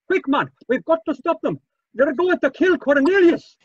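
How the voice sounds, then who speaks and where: A man's voice: extremely high pitch, lively intonation, normal speed, lo-fi sound. A man playing a frantic Scotsman with a Scottish accent, urgently pleading for help in an old-time radio detective drama. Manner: urgent, agitated.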